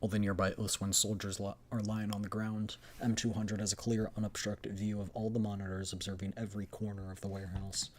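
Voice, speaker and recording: Low-pitched streamer reading a game story. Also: little pitch movement, fairly quick, few pauses, slight background noise. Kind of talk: scripted game story narration with casual asides.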